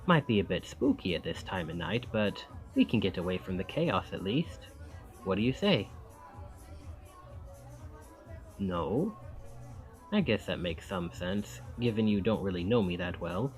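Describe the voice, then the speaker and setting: Charming, lively young male student, acted by a man. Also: low voice, moderate pace, faint music behind, low-quality recording. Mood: friendly, coaxing.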